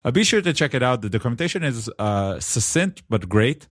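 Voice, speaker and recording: A man with an Israeli accent hosting a casual tech podcast, chatty and relaxed. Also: medium pitch, brisk, no echo, studio quality. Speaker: podcast host.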